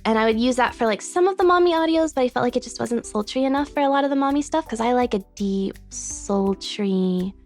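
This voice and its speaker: A woman's voice: a little high, brisk, few pauses, darkish tone, soft background music. A woman guest on a podcast, chatting casually in a bubbly, giggly way.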